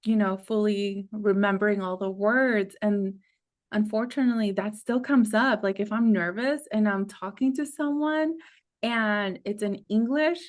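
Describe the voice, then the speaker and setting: A young adult woman coach who grew up in the United States, chatting casually as a guest. She speaks at an everyday middle pitch, fills her talk with "like", and laughs often. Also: a little slow. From United States, Mexican parents. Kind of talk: casual podcast conversation.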